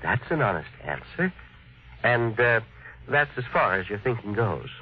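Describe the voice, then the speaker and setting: An actor playing an earnest, courteous, dreamy inventor who is hesitant yet insistent, in 1950s radio drama style. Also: male voice, on the low side, very animated, unhurried, slightly rough, heavily acted. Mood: polite, measured. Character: earnest, polite, dreamy male inventor, quietly persistent. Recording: dull vintage radio recording.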